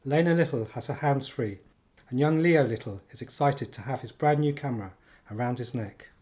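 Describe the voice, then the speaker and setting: A man playing the matter-of-fact narrator of a radio play, measured and descriptive as he sets the scene. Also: mid-range voice, a little mellow, lightly acted.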